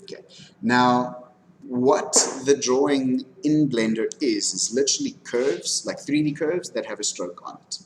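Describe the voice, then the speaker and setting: A man lecturing informally on animation software, talking through a live demonstration and often checking in with his students. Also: medium pitch, measured pace, rough recording. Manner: instructive, frequently checking in with "okay". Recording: background noise, room ambience.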